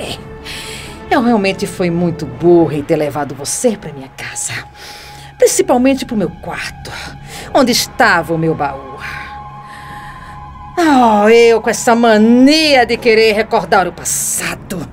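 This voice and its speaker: An actress with a Brazilian accent playing a proud, scheming first lady in melodramatic radio soap style. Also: mid-range voice. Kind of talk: radio soap opera acting.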